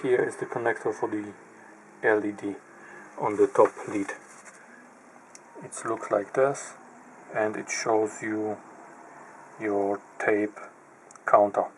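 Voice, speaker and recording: Brief: man with a low voice, slow and methodical, halting pauses, faint hum behind. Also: fairly even intonation, low-quality recording. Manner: halting, pauses mid-phrase while pointing at parts. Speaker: electronics repair hobbyist. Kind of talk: slow, methodical narrated teardown.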